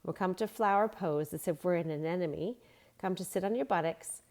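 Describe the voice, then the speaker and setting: A woman reading a storybook aloud as a children's yoga teacher, giving simple, gentle guiding instructions. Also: lowish voice, moderate pace.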